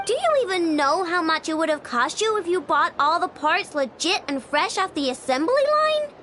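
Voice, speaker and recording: A woman's voice: extremely high pitch, sing-song, normal speed, a bit bright, slight background noise, heavily acted. A woman voicing a cheeky, brash young girl genius mechanic with a casual, boastful manner in a video game. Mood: smug.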